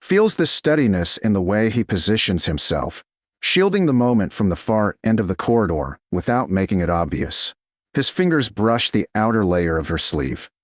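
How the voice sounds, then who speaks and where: A man narrating a scripted romance audiobook in a slow, measured, dramatic manner. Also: fairly low pitch, big pitch swings, dry recording, studio quality.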